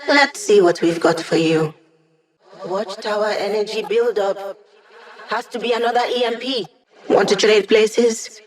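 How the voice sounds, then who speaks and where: A cheeky holographic hacker girl played by a woman for a video game. She is brainy and wry, with a breezy, casual way of talking and a medium-pitched voice. She sounds like playful tech support for a squad of fighters. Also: fairly even intonation, a little slow, natural voice. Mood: wry, helpful.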